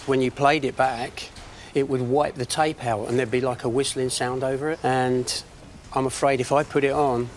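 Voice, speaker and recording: A man's voice: medium pitch, flat delivery, moderate pace, hardly any pauses, a little hiss or hum. A man in his sixties chatting casually and reminiscing as a guest in a barn workshop. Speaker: guest bringing an heirloom for repair. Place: large timber barn workshop.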